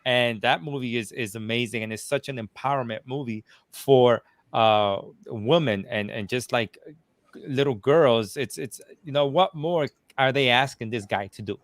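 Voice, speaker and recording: A man, a guest reviewer from New Jersey, talking in a casual panel chat, animated, with many restarts and fillers. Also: medium pitch, normal speed, no room sound. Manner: animated, talks with his hands in phrases, many restarts and fillers.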